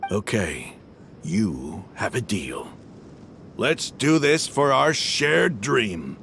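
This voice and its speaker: An actor voicing a proud, earnest, hard-bitten desert mercenary leader in a dramatic video game scene. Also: male voice, lowish voice, very animated, very slow, gravelly, fairly bright tone, a little hiss or hum, heavily acted. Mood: resolved.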